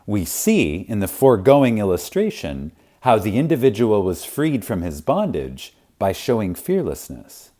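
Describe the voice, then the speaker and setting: A man narrating a spiritual audiobook in a reverent, emphatic manner with dramatic pauses. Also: deep voice, mellow. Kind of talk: scripted audiobook reading of spiritual teaching.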